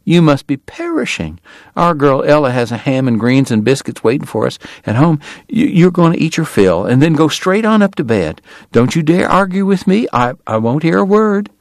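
A fussy, motherly Southern wife , played by a male audiobook narrator. The voice is lifted high, with a Georgia accent. She gushes and chatters, bossing everyone around affectionately. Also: sing-song, normal speed, dry recording, clean studio sound. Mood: fussing, insistent. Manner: gushing, bossy, chatty. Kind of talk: audiobook character voice.

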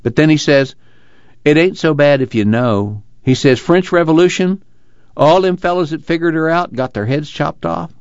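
A man voicing an earnest, excitable but weary labor organizer in an audiobook, pleading in a folksy dialect. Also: fairly high pitch, moderate pace, smooth, no room sound, dull audio, pitched above the performer's own voice, natural voice. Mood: weary, reflective. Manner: rural uneducated American dialect, pleading and preaching.

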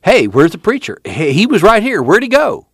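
A man's voice: on the high side, big pitch swings, moderate pace, creaky, a bit bright, no echo, clean studio sound, pitched above the performer's own voice, full character voice. A man voicing an easygoing, affectionate young country man in a storybook reading, plainspoken, with a rural American accent. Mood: calling out.

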